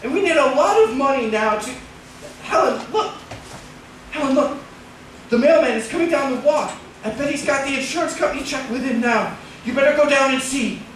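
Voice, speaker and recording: An actor playing a desperate, guilt-ridden husband in an old-time radio drama, stammering and agitated, pleading and bullying by turns. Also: male voice, very high-pitched, moderate pace, a bit bright. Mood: urgent, coaxing. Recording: echoey distant old radio recording, hissy.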